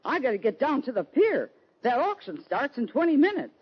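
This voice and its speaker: An actress playing a brash, stubborn, folksy Western businesswoman in an old radio western, with a husky voice and blunt slangy talk. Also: high voice, big pitch swings, brisk, exaggerated voice. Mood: excited, hurried. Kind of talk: 1940s-50s radio western acting. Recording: dull lo-fi old radio recording.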